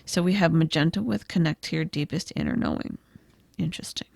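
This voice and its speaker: Hoarse woman giving a gentle tarot reading. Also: deep voice, very animated, brisk, dry recording, clean studio sound. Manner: gentle, reflective, many fillers like "kind of" and "I feel like". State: losing her voice, hoarse. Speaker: tarot reader. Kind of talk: pick-a-card tarot reading.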